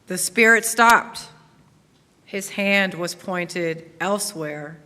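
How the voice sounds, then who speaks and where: A woman delivering measured, dramatic scripted narration in a staged story reading. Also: lowish voice. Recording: reverberant hall, loud background noise.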